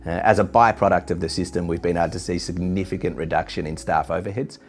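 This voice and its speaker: Low, even-toned businessman. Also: a little hiss or hum. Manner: measured, business jargon. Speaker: hostel chain executive. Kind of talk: corporate testimonial interview. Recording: background music under speech.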